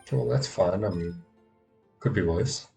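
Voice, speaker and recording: A man's voice: sits low, moderate pace, vocal fry, mellow. A man giving casual live gameplay commentary, muttering to himself and often repeating words. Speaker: game streamer. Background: game music.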